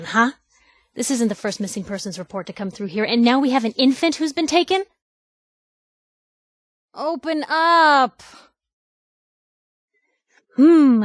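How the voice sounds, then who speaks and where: A woman's voice: on the high side, dry recording. Feisty, wry young woman reporter, dry recording. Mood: indignant, demanding, then brisk, encouraging. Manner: wry, brash, expressive, conversational. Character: feisty, sarcastic young woman reporter, tough but caring companion. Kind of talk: video game companion voice lines.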